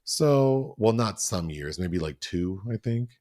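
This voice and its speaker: A man's voice: deep voice, expressive, slow, dark tone, dry recording, clean studio sound. A man co-hosting a podcast, talking through a film review in a casual chat full of fillers and restarts. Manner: chatty, many fillers and restarts. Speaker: podcast co-host.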